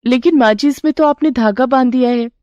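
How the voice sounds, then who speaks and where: A woman voicing a polite, easily amazed young daughter-in-law in a Hindi cartoon moral tale, respectful and expressive. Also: high-pitched, brisk, no room sound, highs cut off, voice pushed up, lightly acted. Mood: polite, concerned. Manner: respectful, wide-eyed, expressive. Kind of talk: cartoon storybook character voice.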